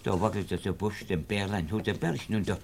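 An actor playing a meek but self-important shoemaker in a classic German radio drama, stammering and repeating himself deferentially. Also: male voice, on the low side, fairly even intonation, normal speed, creaky, darkish tone, a little hiss or hum. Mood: eager, insistent.

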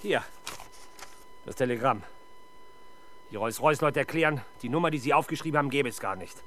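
Casting: male actor for sardonic police chief investigator, mid-pitched, expressive, curt and commanding, vintage radio play with clear noise. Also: brisk, close to an everyday voice. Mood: irritated. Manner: brusque, dry, commanding. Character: gruff, sardonic male police detective in charge. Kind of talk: 1970s German radio drama acting.

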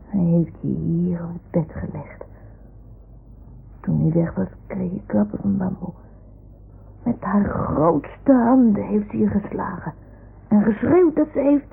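A woman's voice: mid-range voice, unhurried, gravelly, pauses often. A woman playing an earnest little child who talks tenderly and a bit bossily to toy animals in a radio play. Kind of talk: 1960s-70s radio play acting. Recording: muffled, hissy old radio recording.

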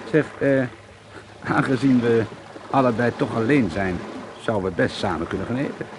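An actor playing a friendly, forthright art teacher on holiday in a naturalistic radio drama. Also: male voice, mid-range voice, moderate pace, noisy background, close to an everyday voice. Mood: wry, amused.

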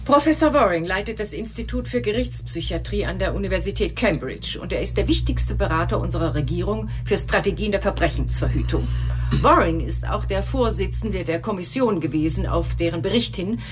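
A woman's voice: medium pitch, lively intonation, fairly quick, soft background music, natural voice. An actress playing an impatient, commanding female state secretary, formal and authoritative, in a radio crime drama. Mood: brisk, formal.

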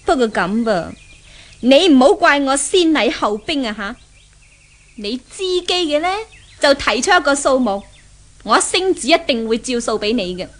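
Haughty, high-voiced Cantonese rich girl. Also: animated, normal speed. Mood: threatening. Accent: Hong Kong Cantonese. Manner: haughty, taunting. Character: haughty, jealous rich young woman threatening her love rival. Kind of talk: 1970s Hong Kong radio drama acting. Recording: noisy old 1970s radio recording.

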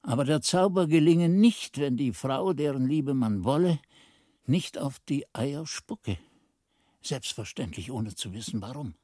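Earnest monastery narrator, lively intonation, soft husky tone, dry sound, radio play storytelling. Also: male voice, mid-range voice, a little slow, no room sound. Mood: earnest, recounting. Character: monk's companion recounting events as earnest narrator. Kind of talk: scripted radio drama narration.

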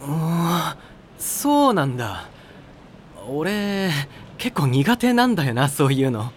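A man playing a frank, slightly squeamish high school senior in an anime audio drama, talking in blunt, casual boyish slang. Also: very animated, takes it slowly, slight background noise. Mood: casual, uneasy.

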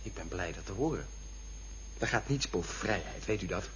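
A man's voice: deep voice, expressive, moderate pace, fairly bright tone, slightly rough, heavily acted. An actor playing a formal, pedantic and suspicious man in an absurdist radio play. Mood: earnest. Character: pedantic, suspicious man tied by a neck rope. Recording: muffled old radio drama recording.

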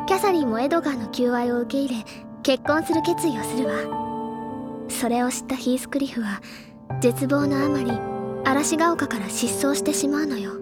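Woman acting a high-voiced, eloquent bookish schoolgirl, music behind. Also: lightly acted. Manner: articulate, dramatic, recounts like a storyteller. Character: earnest bookish schoolgirl, senior student, probing and eloquent. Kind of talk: Japanese audio drama acting, literary storytelling. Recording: loud background music under voice.

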